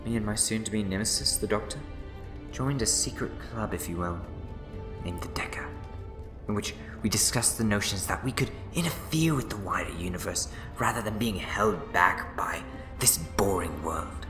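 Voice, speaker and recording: A man's voice: fairly low pitch, moderate pace, lightly acted. A man playing a smug, scheming time-travelling villain in an audio drama, speaking theatrically. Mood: grave storytelling. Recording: roomy echo, loud music behind.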